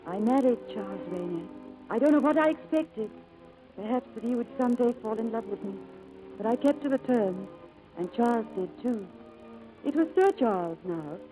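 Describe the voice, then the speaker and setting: An actress playing a poised, quietly lovelorn society wife with a restrained, refined manner in a 1940s radio drama. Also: female voice, on the high side, expressive, moderate pace, lightly acted, low-quality recording. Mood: wistful. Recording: vintage radio broadcast with music.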